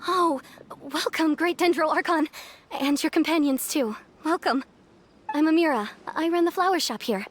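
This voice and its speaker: A woman voicing a gentle, welcoming young wife who sells flowers in a video game, soft and polite. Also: sits high, moderate pace, slight background noise, close to an everyday voice.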